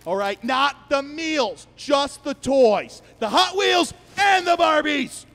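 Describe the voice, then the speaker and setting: Man playing a high-pitched, foul-mouthed robber. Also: lively intonation, slow, in a large hall, full character voice. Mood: barking. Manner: bullying, profane, ranting. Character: foul-mouthed, short-tempered male fast-food robber with petty demands. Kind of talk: profane comedy sketch acting.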